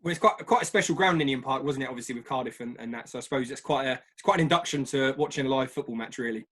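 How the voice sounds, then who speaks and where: Fast-talking English male podcast host. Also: medium pitch, fairly bright tone. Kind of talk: podcast introduction and interview.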